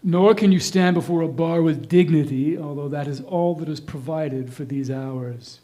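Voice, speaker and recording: A man's voice: a little high, moderate pace, mellow. A man reading scripted literary narration in a reader's theatre performance.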